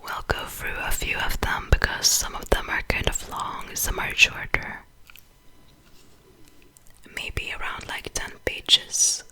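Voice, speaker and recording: A young woman whispers with a Swedish accent, every word breathy and unvoiced. Her delivery is slow and deliberate. The whisper sounds bright and airy, sliding up and down in a lively way. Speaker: ASMR creator. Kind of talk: ASMR whispering.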